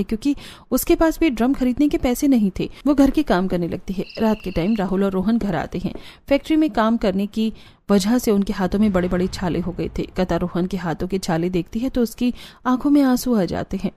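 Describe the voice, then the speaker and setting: A woman's voice: mid-range voice, animated, fairly quick, warm tone. A woman reading scripted narration for a moral cartoon story.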